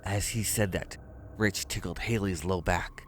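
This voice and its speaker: A man's voice: low voice, fairly even intonation, unhurried, a little hiss or hum. A man reading scripted narration for a horror story.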